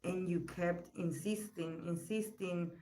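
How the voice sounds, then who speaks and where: A woman reading tarot on a live stream and answering chat questions. Her voice is still recovering, and she talks in a slow, searching way, with pauses and repeated words. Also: lowish voice, steady tone, rough recording. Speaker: tarot reader. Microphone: poor live-stream mic.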